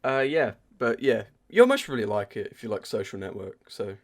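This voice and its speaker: A man's voice: lowish voice, big pitch swings, moderate pace. A man co-hosting a podcast, giving casual, enthusiastic reviews in informal, sweary language. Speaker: podcast co-host. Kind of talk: casual pop culture review chat.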